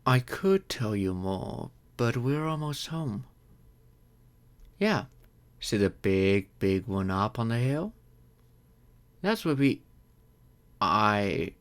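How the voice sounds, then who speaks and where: Man as a slow-spoken, expressive gentle vampire. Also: fairly low pitch, heavily acted. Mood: hesitant, hopeful. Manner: gentle, reassuring, unhurried with thoughtful pauses. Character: courteous, centuries-old wealthy male vampire, gentle and earnest. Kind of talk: scripted audio roleplay, addressing the listener.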